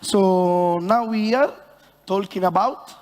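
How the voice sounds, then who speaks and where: A man with an Italian accent, a bartender presenting on stage in a joking, halting way with many fillers. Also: high-pitched, a little mellow. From Italy. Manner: halting and playful, many fillers, self-mocking banter. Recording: long hall reverb.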